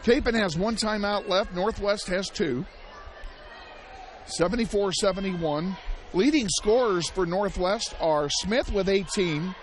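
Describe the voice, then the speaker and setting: A high-pitched man calls a close high school game live, his voice going hoarse as he shouts the big moments. He fills the timeouts with chatty, self-deprecating asides. A roaring gym crowd and steady noise sit behind him. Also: unhurried. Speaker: play-by-play announcer. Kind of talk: live basketball play-by-play.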